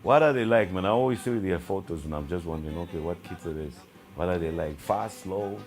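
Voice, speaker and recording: Deep-voiced host guy, laid-back and friendly, bit of guitar behind. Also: a little fast. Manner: easygoing, friendly. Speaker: interviewer host. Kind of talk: casual interview chat. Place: TV studio.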